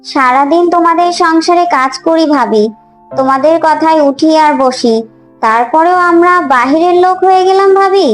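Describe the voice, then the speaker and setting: Cartoon middle daughter-in-law, very high, meek, easily hurt, soft music behind. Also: female voice, normal speed. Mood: hurt, pleading. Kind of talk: Bengali cartoon voice acting.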